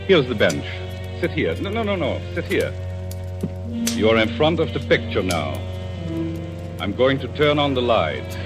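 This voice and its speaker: An actor playing a vengeful, coolly controlled man in a 1940s radio suspense drama, speaking deliberately and theatrically. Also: medium pitch, very animated. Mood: quietly controlled. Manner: deliberate, theatrical, quietly menacing. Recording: old radio recording with music.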